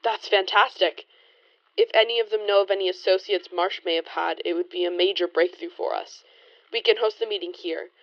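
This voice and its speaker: A woman's voice: medium pitch, steady tone, brisk, no echo, lo-fi sound, lightly acted. A woman playing a determined, suspicious 1920s private investigator, pointed and articulate, in a period audio drama.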